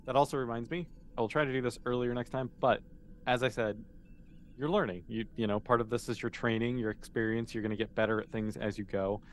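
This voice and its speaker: A man's voice: medium pitch, steady tone, a little fast. Encouraging male game master over background noise. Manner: encouraging, explanatory. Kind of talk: running a tabletop role-playing game, casual.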